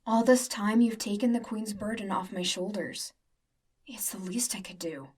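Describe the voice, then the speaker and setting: A woman voicing a calm, gracious young female student in a comic dub. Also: medium pitch, normal speed, breathy edge, far from the mic, close to an everyday voice. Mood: gentle.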